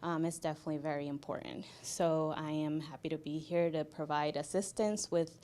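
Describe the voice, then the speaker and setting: Soft-spoken Venezuelan-born woman counselor. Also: deep voice, fairly even intonation, fairly quick. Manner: soft-spoken, hesitant with many fillers. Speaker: school mental health counselor. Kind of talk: interview guest, casual.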